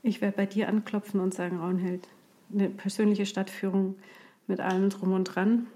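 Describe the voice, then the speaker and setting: A woman's voice: medium pitch, flat delivery, moderate pace, mellow. A woman from Bavaria interviewing a guest in a casual chat, playful and teasing, laughing easily.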